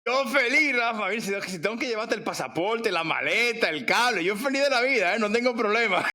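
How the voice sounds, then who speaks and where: A man's voice: high voice, expressive, a little fast, pushed and bright. A man hosting a podcast interview, introducing a guest in an enthusiastic, effusive way. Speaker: podcast host.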